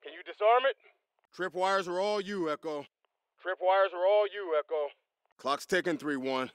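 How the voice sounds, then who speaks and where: A man acting as a battle-hardened American Marine squad leader in a military shooter game. His voice sits high for a man and is recorded close and dry. He speaks in clipped, salty commands with total confidence. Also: moderate pace, clear, resonant tone, no echo, natural voice. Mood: low, wary. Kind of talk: video game combat dialogue.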